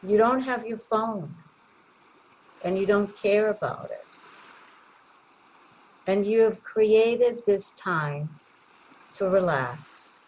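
A woman leading a guided meditation in a gentle, soothing way, leaving long pauses between short phrases. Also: medium pitch, slight background noise, poor audio.

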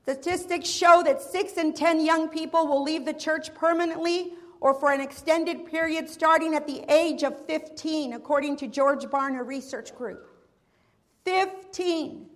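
High-voiced woman preaching a sermon. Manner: earnest, measured preaching with repeated invocations. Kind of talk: sermon with prayer. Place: church sanctuary.

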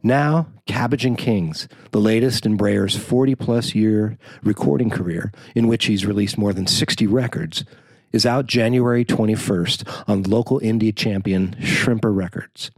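Male narrator for a written arts profile. Low register, dry close sound. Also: unhurried, dry recording. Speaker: journalist narrator.

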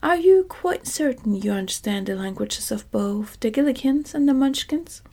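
A woman's voice: sits high, expressive, moderate pace. Scarecrow, a fussy straw king, as a whispering woman puts him on. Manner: soft whispered reading.

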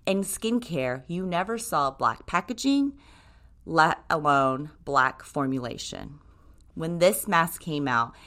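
A woman's voice: deep voice, bright, forceful tone. A woman in her thirties from Japan, hosting a podcast and reading a scripted monologue in an upbeat, enthusiastic way. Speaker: podcast host, brand co-creator.

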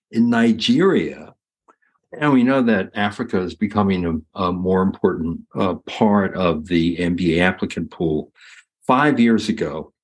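Low husky man hosting a panel. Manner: conversational, walks through figures step by step. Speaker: host moderating a panel. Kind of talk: casual panel discussion.

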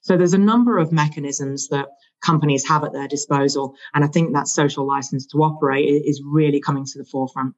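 An investment analyst guest explaining infrastructure investing, often pausing with "you know" fillers. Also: medium pitch, fairly even intonation, highs cut off. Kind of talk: conversational explanation.

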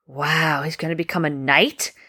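A woman's voice: sits low, fairly even intonation, normal speed, sharp tone, breathy edge, no echo, clean studio sound, full character voice. A woman voicing a curious little boy in a bedtime story, full of questions and amazement. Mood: amazed, puzzled.

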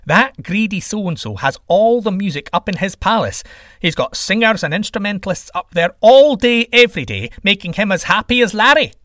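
A bossy, blustering god of the sky, performed by a male storyteller in a comic myth. He scolds impatiently and emphatically, with a high, swooping delivery and a close, dry sound. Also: lively intonation, moderate pace, bright, forceful tone, dry recording. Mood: scornful.

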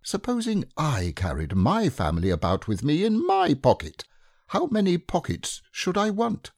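A man's voice: fairly high pitch, wildly swooping pitch, moderate pace, vocal fry, a little mellow, no echo, clean studio sound, voice pushed up, exaggerated voice. A man voicing a bossy, fussy male rabbit who talks in a precise, self-important way in an audiobook reading.

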